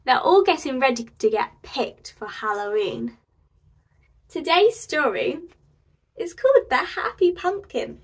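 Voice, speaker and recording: High, animated young woman reading to children. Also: measured pace, distant mic. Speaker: children's storyteller and host. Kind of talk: picture-book read-aloud for preschoolers.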